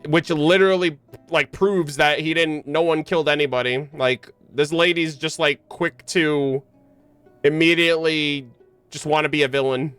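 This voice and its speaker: A man's voice: fairly high pitch, steady tone, normal speed, a bit bright, clear, resonant tone. A man streaming a game, reacting and musing aloud in casual, slangy speech with short interjections. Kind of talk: casual live reaction and commentary. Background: game music.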